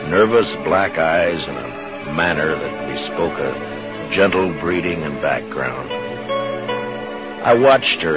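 Deep voiced american guy, stern but decent old west marshal, plain talker, 50s radio show with music behind. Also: rough recording. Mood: reflective narration. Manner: authoritative, plain-spoken. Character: stern but kindly frontier town marshal. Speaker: lead actor and narrator. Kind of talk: 1950s radio Western acting and narration.

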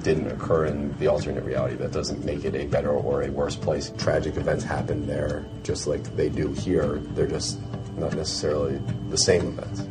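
A man's voice: rumbling low, level tone, moderate pace. An American man giving explanatory interview commentary, as a producer discussing a show. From United States. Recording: music under the voice. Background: background music.

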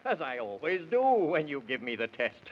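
An eager, servile palace guard in an old radio drama. The voice is high and obsequious, slow in pace, on a muffled recording. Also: male voice, big pitch swings, full character voice. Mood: eager, submissive. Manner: obsequious, rhymed replies. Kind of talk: 1930s radio acting.

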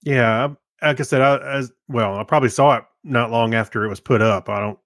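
A man's voice: mid-range voice, brisk, a bit bright, dry recording, studio quality. A middle-aged man co-hosting a podcast, chatting casually and telling stories from everyday life. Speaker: podcast co-host. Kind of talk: casual chat with storytelling.

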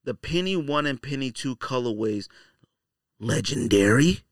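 A man's voice: mid-range voice, animated, unhurried, dry recording, studio quality. A man hosting a podcast with casual, opinionated commentary in slangy, colloquial speech, repeating phrases for emphasis. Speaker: podcast host.

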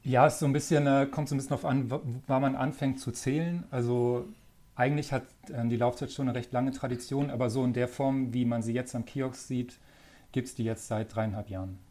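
A man's voice: mid-range voice, a little fast. Thirties male guest from northern Germany, easygoing, lots of ähm. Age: 30s. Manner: relaxed, friendly, frequent fillers. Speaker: magazine editor, guest. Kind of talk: casual interview chat.

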